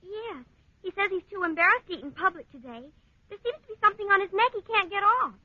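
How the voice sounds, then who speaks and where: A woman's voice: very high voice, expressive, quick pace. A woman acting a guileless, polite teenage schoolgirl in a 1940s radio comedy, with a girlish manner. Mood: cheerful, polite. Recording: dull, noisy 1940s radio recording.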